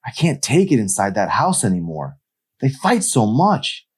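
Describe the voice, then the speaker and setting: A man's voice: mid-range voice, very animated, moderate pace, husky, voice pushed up. A man voicing an exasperated, sarcastic teenage girl in a storybook reading, with an emphatic, snappish delivery. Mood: fed up.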